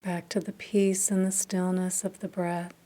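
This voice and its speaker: Woman doing a guided meditation, super slow and even, mellow low-key voice, long pauses. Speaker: meditation teacher.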